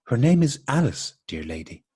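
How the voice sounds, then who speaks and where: A man's voice: mid-range voice, very animated, moderate pace, mellow, husky. A man playing a courteous, bemused married man in an absurdist radio play, speaking with formal, repetitive politeness. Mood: bemused, deliberate. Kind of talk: absurdist stage dialogue played as radio drama.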